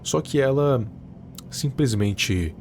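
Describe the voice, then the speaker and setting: A man's voice: mid-range voice, expressive, slow, heavy background noise. A man with a Brazilian accent giving scripted narration with casual, conversational asides. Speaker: video essay narrator.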